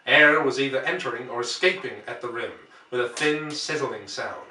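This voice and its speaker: A man reading a classic story aloud as scripted narration, with measured, deliberate phrasing. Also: mid-range voice, bright, forceful tone, a little hiss or hum. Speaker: audiobook reader. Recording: roomy, distant microphone.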